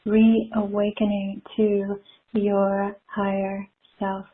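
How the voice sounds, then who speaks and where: A woman leading a spiritual guided meditation in a soothing, gentle manner with long pauses, recorded from a live call. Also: medium pitch, monotone. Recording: muffled phone-like live call audio.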